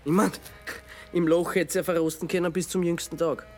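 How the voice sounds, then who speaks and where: A man's voice: a little high, level tone, fairly quick, a little hiss or hum, natural voice. An actor playing a man in a radio drama who steadily reasons with and pleads against a vengeful killer. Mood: reasoning. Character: calm man confronting an avenger, pleading.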